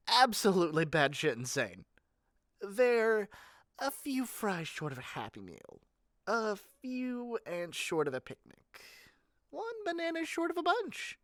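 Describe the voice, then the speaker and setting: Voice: mid-range voice, dry recording, studio quality. A performer playing an eager, shady, frazzled salesperson in a scripted audio roleplay, chatty and sardonic with theatrical rambling asides. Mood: animated, exasperated. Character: eager, shady, frazzled yandere matchmaking salesperson, cynical and fast-talking. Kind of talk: scripted audio roleplay monologue to one listener.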